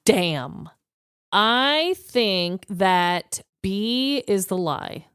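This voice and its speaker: A woman with a fairly high, clean and smooth voice that swings widely in pitch. She talks at an unhurried pace as a podcast co-host, chatting in an expressive, informal, exclamatory way. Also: no echo, studio quality, soft background music. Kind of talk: casual chat.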